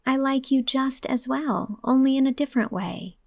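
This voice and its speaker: A woman voicing a gentle young woman teacher, tender, earnest and reassuring, in an audiobook drama. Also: high voice, moderate pace, gravelly, no room sound, voice pushed up. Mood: serious, reassuring.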